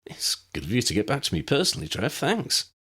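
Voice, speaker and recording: Guy doing a nervous young wizard, higher voice, jumpy and eager. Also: wildly swooping pitch, fairly quick, pushed and bright, breathy edge, full character voice.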